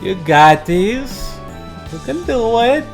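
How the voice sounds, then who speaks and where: A man reading a visual novel aloud as a let's play host, mixing narration with casual, excitable commentary. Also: very high voice, slow pace, gravelly. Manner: excitable outbursts between narrated lines. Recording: game music behind the voice. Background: game background music.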